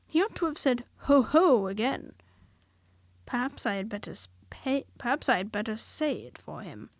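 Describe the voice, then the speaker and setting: A woman voicing a small, timid male piglet who talks quickly and squeakily. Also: very animated, dry recording, studio quality. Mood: anxious.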